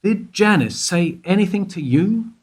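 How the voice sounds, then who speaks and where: Radio drama: smug, probing male interrogator, acted, swooping high-pitched delivery, insinuating. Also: lively intonation, slightly rough, heavily acted. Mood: smug, suspicious. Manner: insinuating, leading questions with pointed pauses. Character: probing, self-satisfied male investigator questioning a suspect. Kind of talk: radio play dialogue.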